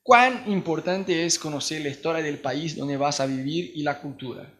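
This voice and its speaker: A man from Brazil with a Brazilian accent and Portuguese as his first language, hosting a casual podcast chat in a teasing, joking way and nodding along with quick short replies. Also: a little high, a bit bright. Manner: joking, teasing, eager back-channel replies. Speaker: podcast host, missionary.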